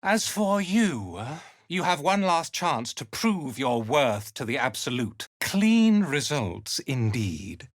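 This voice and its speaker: An actor playing a haughty, ruthless cult commander in a video game, speaking in an imperious, deliberate, disdainful manner. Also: male voice, mid-range voice, sing-song, no echo, studio quality. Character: haughty, ruthless male cult commander, contemptuous slave driver. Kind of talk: video game character acting.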